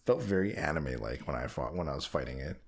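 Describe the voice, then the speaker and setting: A man's voice: rumbling low, lively intonation, fast, breathy edge. A man co-hosting a gaming podcast, chatting casually and informally. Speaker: podcast co-host.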